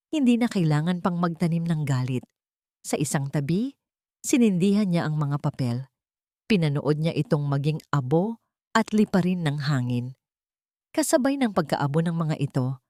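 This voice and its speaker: A woman narrating a dramatic audio story in a measured, scripted way. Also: deep voice, no echo, studio quality. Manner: measured, dramatic pacing with expressive rises.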